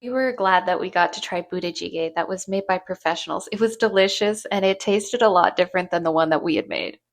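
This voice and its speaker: A young woman reading scripted narration in a measured, earnest way. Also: lowish voice.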